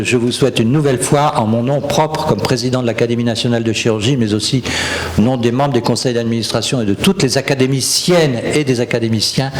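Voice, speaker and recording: A man's voice: mid-range voice, echoey room, noisy background. A man presiding over a session of a surgical academy, giving a formal, courteous introduction full of hesitant fillers.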